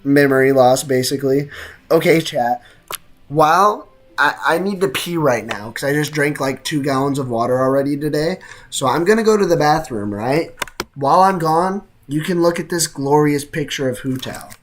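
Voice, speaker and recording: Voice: mid-range voice, normal speed, a little hiss or hum. A game streamer chatting casually with live viewers while reacting to a game, teasing and slangy. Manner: teasing, slangy banter with chat.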